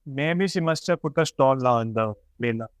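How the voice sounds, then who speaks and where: A man's voice: medium pitch, moderate pace, smooth, dry recording, clean studio sound. A man chatting casually and giving short reactions. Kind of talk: casual chat, brief reactions.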